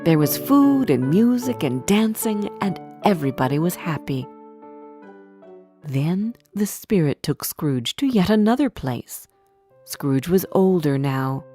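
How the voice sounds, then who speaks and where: A woman narrating a storybook for English learners in a deliberate, expressive manner. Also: fairly low pitch, soft background music.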